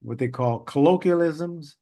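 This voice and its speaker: A man's voice: on the high side, a little slow, dark tone. A man hosting an interview podcast, chatting casually and wandering into long stories with frequent laughter. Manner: rambling, chatty storyteller who laughs often. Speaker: podcast host.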